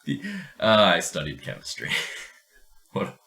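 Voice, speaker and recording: Very low-voiced man, informal podcast host. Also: sing-song, slow, creaky, sharp tone. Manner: informal, profane, jokey. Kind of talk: casual literary discussion and reading aloud.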